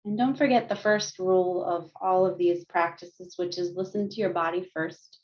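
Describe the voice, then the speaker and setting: Calm woman guiding mindfulness over video call. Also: lowish voice, moderate pace. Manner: calm, gentle, with frequent light laughs. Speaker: counselor and guest speaker. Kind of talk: guided mindfulness and yoga instruction. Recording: muffled lo-fi video call audio.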